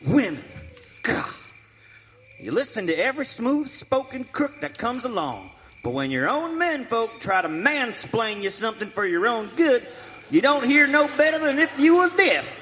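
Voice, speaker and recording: A man's voice: very high-pitched, sing-song, moderate pace, fairly bright tone, full character voice. An actor playing a cranky, suspicious frontier father in a western radio play, scolding in folksy American dialect. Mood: indignant scolding. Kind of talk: live western radio theater acting. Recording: hall reverb, faint noise.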